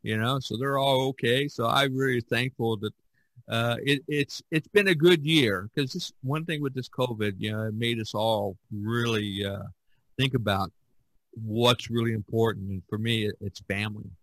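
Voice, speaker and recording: A man's voice: mid-range voice, fairly even intonation, moderate pace, fairly bright tone, no echo, low-quality recording. A middle-aged or older American man from Indiana hosting a casual podcast chat, often chuckling as he talks. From Indiana, United States. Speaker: podcast host.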